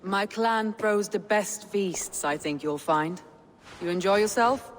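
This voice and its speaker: A woman voicing a proud, wary Viking clan leader in a video game, with deliberate, solemn delivery. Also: medium pitch, a little hiss or hum, close to an everyday voice. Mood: sombre. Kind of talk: cinematic video game acting.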